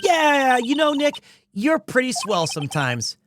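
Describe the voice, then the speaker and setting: A woman's voice: high-pitched, sing-song, a little slow. A woman voicing a perky, bossy teenage girl assistant in video game dialogue, teasing and eager, with game music underneath. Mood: excited.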